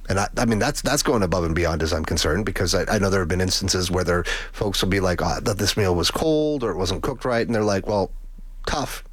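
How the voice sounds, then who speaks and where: Low-voiced Canadian radio host, chatty man. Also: a little fast, husky, no echo. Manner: chatty, rambling, repeats words. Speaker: radio talk show host. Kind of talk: conversational radio talk with listener call-out. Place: radio studio.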